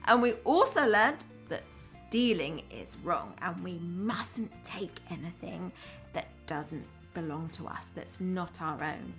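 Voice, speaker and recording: Slow-paced woman reading a children's story. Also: on the low side, creaky, soft background music. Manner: expressive, addresses young children simply. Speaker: primary school teacher, storyteller. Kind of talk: children's storybook read-aloud with asides.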